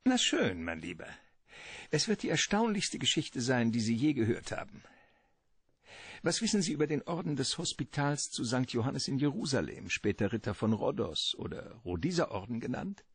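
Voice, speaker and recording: Genteel villain, husky, animated pitch, florid storytelling, treasure-obsessed, radio drama, dry close sound. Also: male voice, moderate pace, no echo. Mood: courteous, grandiose. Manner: florid, courteous, theatrical.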